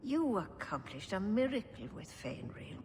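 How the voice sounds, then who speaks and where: An actress playing a calm, dignified older elven keeper who speaks slowly and formally. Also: female voice, medium pitch, long reverb tail, slight background noise. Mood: grave, grateful. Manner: slow, measured and formal. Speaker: elf clan keeper.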